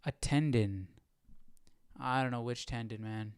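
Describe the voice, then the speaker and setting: A man's voice: mid-range voice, measured pace, clear, resonant tone, no room sound, clean studio sound. A man giving casual, informal study commentary, musing aloud as a host. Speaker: Bible study livestream host.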